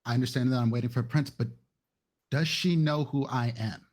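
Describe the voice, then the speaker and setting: A man plays an entitled vampire businessman in a tabletop roleplay game. He is haughty and impatient, declaiming loudly and pompously in a mid-pitched voice. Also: brisk, natural voice. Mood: haughty impatience.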